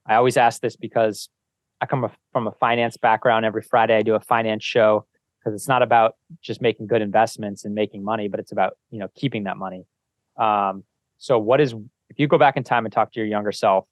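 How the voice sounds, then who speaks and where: A man's voice: on the low side, fairly even intonation, a little fast, no room sound. A man hosting an interview podcast in a casual, conversational style. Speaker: podcast host.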